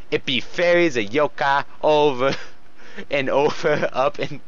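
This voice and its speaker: A man's voice: fairly high pitch, takes it slowly. A man co-reading fan fiction aloud in a joking style, giggly and often stumbling over the text. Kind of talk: joking read-aloud with casual asides. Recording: muffled, noisy home recording.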